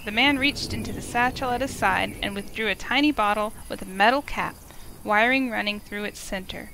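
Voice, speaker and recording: A woman's voice: mid-range voice, normal speed, gravelly, sharp tone, a little hiss or hum, low-quality recording. A woman reading narration from a visual novel aloud in a storytelling style, as a game streamer.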